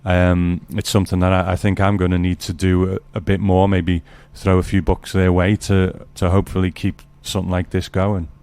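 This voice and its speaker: A man's voice: low voice, completely flat delivery, normal speed, hardly any pauses, a little mellow, clear, resonant tone. A man hosting a podcast, talking in a casual, conversational way. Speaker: podcast host. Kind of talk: casual chat.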